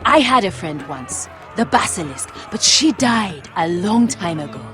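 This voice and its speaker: A woman voicing a menacing, bitter leader of pit fighters in a video game, deliberate and threatening. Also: mid-range voice, big pitch swings, music in the background. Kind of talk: video game character acting.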